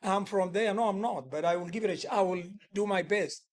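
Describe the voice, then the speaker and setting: High-voiced man talking shop as a musician. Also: brisk. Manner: earnest, rambling, loose grammar with many restarts. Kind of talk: casual workshop talk.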